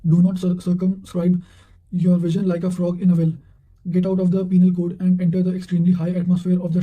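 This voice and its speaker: Male reader for revision notes: flat intonation, high register, occasional self-corrections. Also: muffled recording. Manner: reads with stumbles and self-corrections. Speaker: narrator reading quotations for exam revision. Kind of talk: read-aloud quotations, study revision.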